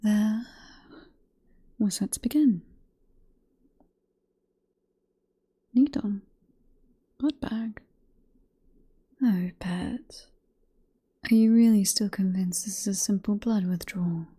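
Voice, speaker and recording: Vampire professor for ASMR roleplay, played by a woman: British, female, hushed breathy whisper, deeply mellow tone, possessive, teasing and coaxing. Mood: sly, possessive. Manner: coaxing, teasing, unhurried murmur. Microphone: close ASMR microphone.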